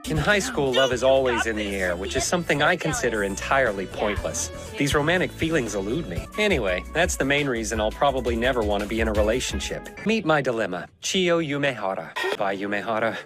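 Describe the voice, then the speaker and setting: An actor with an American accent playing a jaded teenage boy in an anime dub, narrating his thoughts with sardonic, deadpan delivery. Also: medium pitch, fairly quick, few pauses, lightly acted. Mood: dry, unimpressed. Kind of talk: anime dub internal monologue. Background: music.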